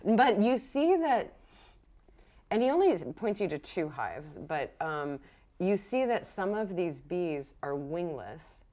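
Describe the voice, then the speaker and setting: A woman game master narrating a tabletop game in an informal, halting, conversational way. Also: fairly low pitch, very animated, dark tone.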